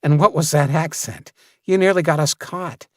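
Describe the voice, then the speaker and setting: A man's voice: a little high, moderate pace, no echo, clean studio sound, pitched above the performer's own voice, close to an everyday voice. A man voicing a friendly, curious, teasing male survivor in an audiobook. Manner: casual, teasing.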